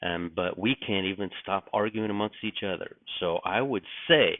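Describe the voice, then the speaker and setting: Raspy just-woken man, low voice. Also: normal speed. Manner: rambling, musing, frequent restarts and fillers. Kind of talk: casual spoken reflection. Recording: dry, tinny phone-like sound.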